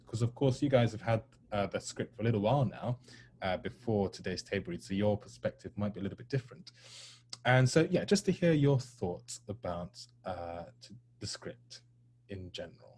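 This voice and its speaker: A man's voice: lowish voice, a little mellow, slight background noise. A man hosting an online discussion in a polite, hesitant, informal way. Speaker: host moderating a play discussion. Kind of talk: informal event moderating.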